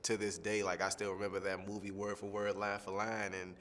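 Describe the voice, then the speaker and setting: A young man, a singing contestant, answering interview questions in a relaxed, rambling way with lots of fillers. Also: fairly low pitch, a little fast, big-room sound. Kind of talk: press junket soundbite interview.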